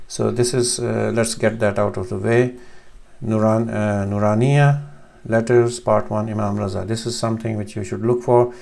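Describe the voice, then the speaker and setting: Gravelly low-voiced man teaching letters. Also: steady tone, moderate pace, a little mellow. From Pakistan. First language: Urdu. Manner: hesitant, many fillers and restarts. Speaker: Arabic teacher. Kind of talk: screen-share lesson. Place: small room at home, indoors.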